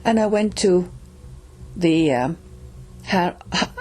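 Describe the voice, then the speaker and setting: A middle-aged woman with a Finnish accent, telling a personal story slowly, with pauses and restarts. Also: on the low side, animated, darkish tone, poor audio. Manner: slow, halting, with pauses and restarts. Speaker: reader and storyteller.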